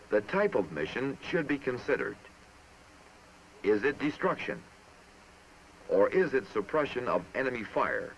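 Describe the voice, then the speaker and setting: A man narrating a military training film in a formal, measured, instructive manner, reading from a script. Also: fairly low pitch, fairly bright tone. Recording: muffled, noisy old film soundtrack.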